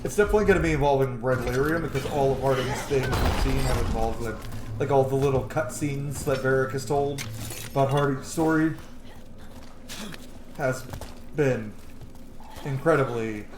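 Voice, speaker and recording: A man giving casual, animated gameplay commentary as a streamer, rambling into asides and swearing freely. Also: mid-range voice, moderate pace, pauses often, roomy sound, background noise. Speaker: game streamer on own channel. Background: game music.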